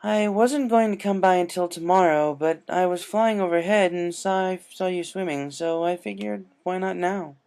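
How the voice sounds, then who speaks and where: A narrator voicing a tender, regal young female alicorn princess in a gentle, formal, measured way for a story reading. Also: mid-range voice, clear, resonant tone, voice pushed up, close to an everyday voice. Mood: calm, explanatory.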